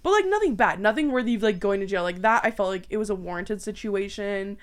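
Canadian woman telling stories in an animated way. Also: mid-range voice, fast. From southwestern Ontario, Canada. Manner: animated, acts out quotes and sounds. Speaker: podcast co-host. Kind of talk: casual chat, anecdotal storytelling.

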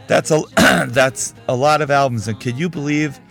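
A man's voice: medium pitch, moderate pace, vocal fry, fairly bright tone, soft background music. A man hosting a podcast, giving frank, conversational opinions in a casual solo talk while losing his voice. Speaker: podcast host.

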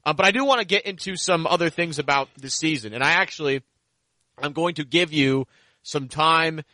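Male podcast host for sports talk: bright, forward voice on the higher side, close dry sound, conversational and wordy. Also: normal speed, no echo, poor audio. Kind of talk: sports podcast hosting and interview.

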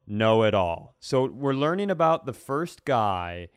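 A man co-hosting a comedy podcast in casual chat, rambling through animated, mock-serious explanations. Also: medium pitch, measured pace, clean tone, no echo, clean studio sound. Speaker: podcast co-host.

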